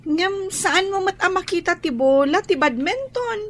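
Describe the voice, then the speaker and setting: A loving young child in a radio drama. The voice is extremely high and unhurried, with an Ilocano accent and a devoted, affectionate tone. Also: female voice, lively intonation, a little hiss or hum. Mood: tender, playful.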